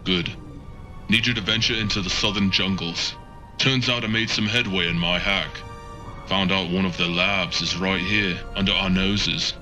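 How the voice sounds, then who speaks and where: A earnest game sidekick with a deep yet sharp, forceful voice. He speaks insistently, with faint music behind him. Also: fairly even intonation, moderate pace. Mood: businesslike. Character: earnest, pressing male tech ally of vigilante. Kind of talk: dramatic game acting.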